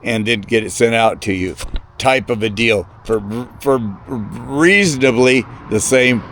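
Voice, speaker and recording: A man talking casually about his hobby in a rambling, hesitant way, halting and repeating words as he goes. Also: mid-range voice, a bit bright, slight background noise. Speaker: hobbyist video presenter.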